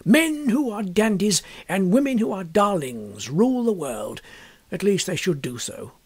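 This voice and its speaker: A man's voice: sits high, very animated, measured pace, breathy edge, exaggerated voice. A man voicing a blustering, exuberant, opinionated male painter in a storybook reading, with an emphatic, declamatory manner.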